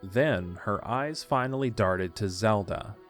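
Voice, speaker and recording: A man's voice: fairly low pitch, expressive, takes it slowly, faint music behind. A man narrating a scripted story for an audio drama.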